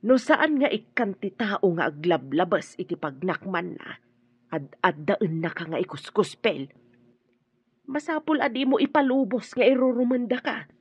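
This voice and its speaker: An Ilocano-accented woman playing a proud, protective middle-aged mother in a radio drama, with a husky voice. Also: medium pitch, big pitch swings, normal speed, dry recording, muffled recording. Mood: indignant. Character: proud, protective mother sizing up her child's in-laws.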